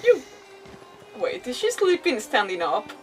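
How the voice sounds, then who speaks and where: Woman, game streamer, casual commentary, chatty, mid-pitched, somewhat distant mic, faint music behind. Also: wildly swooping pitch, unhurried. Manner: chatty, reacting aloud to the game. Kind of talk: casual livestream gameplay commentary.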